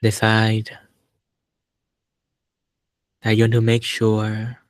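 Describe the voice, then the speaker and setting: A man leading a guided meditation as a teacher, speaking calmly and without hurry, in short phrases with long pauses. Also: lowish voice, dry recording, clean studio sound.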